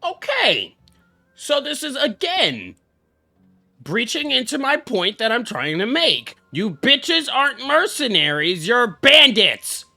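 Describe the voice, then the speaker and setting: Brash, animated young man, bright voice. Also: very high-pitched, takes it slowly, gravelly, no room sound. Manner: brash, heavily profane, exclamatory. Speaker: game streamer. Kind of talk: casual live reaction commentary. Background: game music.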